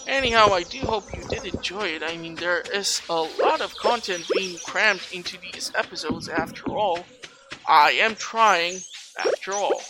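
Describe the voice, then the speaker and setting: A video creator of unclear gender gives casual, rambling let's play commentary, as if thinking out loud. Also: medium pitch, normal speed, low-quality recording. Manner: rambling, talking to self. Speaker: gaming video creator, host. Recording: loud game music behind voice. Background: video game music.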